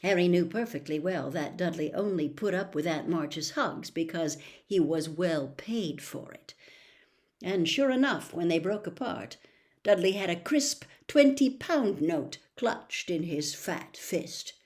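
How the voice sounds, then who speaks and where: A woman narrating a story as an audiobook reader, expressive and dramatic, with emphatic phrasing. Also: lowish voice, measured pace.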